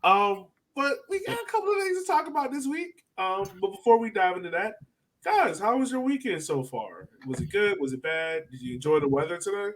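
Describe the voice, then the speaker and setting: Lively man hosting a sports podcast. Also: sits high, fairly quick. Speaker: podcast host. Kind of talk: casual sports podcast chat.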